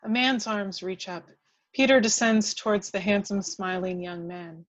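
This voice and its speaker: A woman's voice: medium pitch, fairly even intonation, unhurried, no echo, lo-fi sound. A woman reading scripted stage directions as the narrator of an amateur table read.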